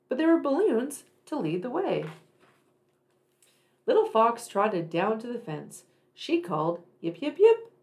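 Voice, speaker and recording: A woman reads a storybook aloud to young children. Her intonation moves widely up and down at a medium pitch, and she leans on key words with dramatic, emphatic phrasing. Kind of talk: expressive picture-book reading.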